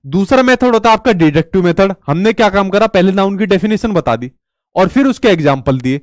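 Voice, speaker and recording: Guy teaching an exam prep class, high voice, chatty, lots of rhetorical questions, dry sound. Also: animated, a little fast, no echo. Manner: chatty, asks rhetorical questions, tells stories.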